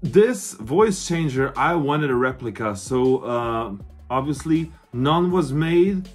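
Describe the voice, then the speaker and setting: A man in his thirties speaking casually and excitedly to camera like an informal vlogger, with some swearing. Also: medium pitch, slow pace, slightly rough, faint music behind. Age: 30s. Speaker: vlogger, horror collector. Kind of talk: casual show-and-tell review to camera. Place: small cluttered room indoors.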